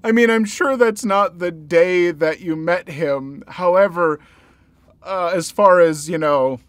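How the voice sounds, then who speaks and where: Expressive man reading stories aloud. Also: high-pitched, slow, clean tone, dry recording. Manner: expressive, swings between loud and hushed. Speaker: podcast host reading stories. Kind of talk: story reading with commentary.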